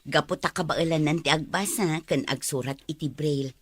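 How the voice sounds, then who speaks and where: A woman's voice: low-pitched, moderate pace, slightly rough, no echo. A woman playing a caring middle-aged mother in a Filipino radio drama, with a Filipino accent. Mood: glad news.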